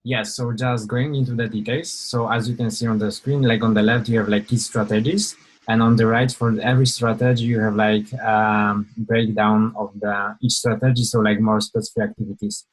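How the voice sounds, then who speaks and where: A young man leads an online webinar in a low, flat voice. He talks in an informal, halting way, filling pauses with "uh" and "like" and often restarting a sentence. It sounds like a video call. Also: breathy edge, rough recording. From Poland. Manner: hesitant, many fillers and restarts. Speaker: webinar presenter.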